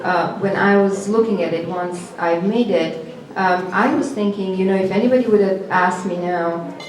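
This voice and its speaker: A woman from the former Yugoslavia whose first language is Serbo-Croatian, speaking as a panelist in a reflective, halting way. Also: mid-range voice. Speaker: panelist, performance artist. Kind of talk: panel discussion answer. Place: gallery event space. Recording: echoey gallery room.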